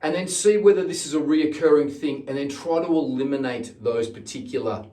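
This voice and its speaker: A man's voice: fairly high pitch, fairly quick, dark tone. A middle-aged man hosting an informal instructional video as a singing teacher, explaining things with emphasis on key words and frequent repetition. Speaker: singing teacher and channel host. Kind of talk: informal instructional talk to camera. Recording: roomy echo, distant microphone.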